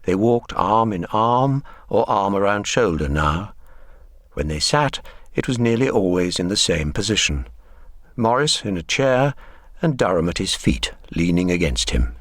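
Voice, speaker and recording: Man, literary narrator, low voice, animated intonation, scripted radio drama narration. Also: measured pace, dry recording, clean studio sound.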